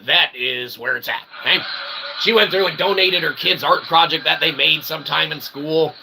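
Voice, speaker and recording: A man with a fairly high, gravelly voice rambles through a comic mock-philosophical monologue. His phrasing is pompously wordy, broken up by crude language and little tag questions. Clear ambient noise sits behind him. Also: normal speed. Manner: punctuates statements with short tag questions. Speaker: solo streamer and channel host. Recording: clearly noisy, with ambient background sound.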